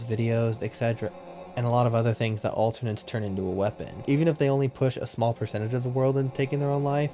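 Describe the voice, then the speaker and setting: A man's voice: medium pitch, dark tone. A young man giving a scripted countdown commentary in a casual, blunt way, swearing now and then for emphasis. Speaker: YouTube commentator.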